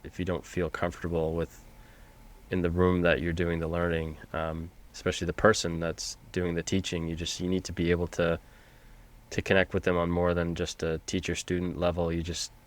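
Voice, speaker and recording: A man's voice: low voice, monotone. A man from Canada, a teacher and podcast guest, talking casually with frequent restarts over a video call. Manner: halting, with many restarts. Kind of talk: casual conversation.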